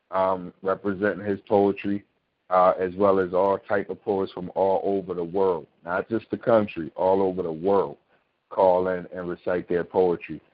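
A man's voice: deep voice, no room sound. A man hosting a call-in radio talk show, laid-back and slangy as he wraps up the hour. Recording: dry, dull lo-fi audio.

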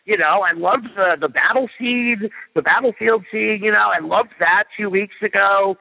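A man's voice: sits high, normal speed, gravelly, breathy edge. Blunt ranting man on a phone line. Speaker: radio host guest. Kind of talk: opinionated sports talk. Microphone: phone call. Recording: tinny phone line with long reverb.

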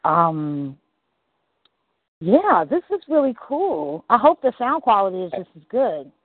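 A husky-voiced host in a casual call chat, talking loosely with fillers and swearing, heard over call audio. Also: mid-range voice, very animated, a little slow, dry recording. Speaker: podcast host. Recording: tinny phone-like call audio, dry.

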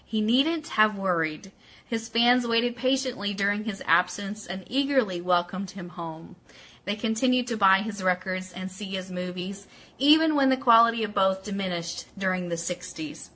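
A woman's voice: medium pitch, normal speed, a bit bright. A woman hosting a live online radio show, chatty and casual as she speaks directly to her audience. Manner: chatty, addresses viewers directly by name. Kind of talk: casual livestream chat, greeting viewers and reading an article aloud.

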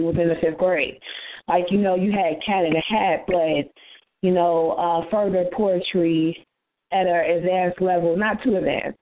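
A soft-voiced woman, a poet being interviewed, chatting casually and telling stories with lots of quoted dialogue. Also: on the low side. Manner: chatty, quotes herself and others. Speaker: interview guest, spoken word poet. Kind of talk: casual interview chat, storytelling. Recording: tinny phone-line internet radio sound.

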